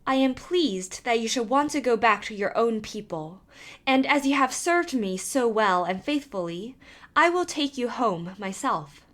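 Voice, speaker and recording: A woman voicing a kindly old woman from a fairy tale, speaking in a measured, instructing manner. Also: on the high side, lively intonation. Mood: pleased, kindly.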